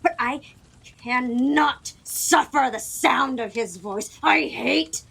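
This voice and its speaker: A woman's voice: sits high, takes it slowly, vocal fry, husky, heavily acted. A woman acting a haughty, jealous queen in an audio play, imperious and mocking. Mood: scornful. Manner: imperious, mocking, sharp-tongued.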